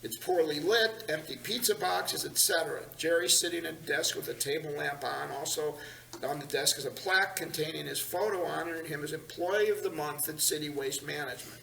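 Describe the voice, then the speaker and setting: A man's voice: on the high side, brisk, gravelly, sharp tone. A man narrating scripted stage directions at a table read in a large meeting room. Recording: echoey room, distant mic, hiss.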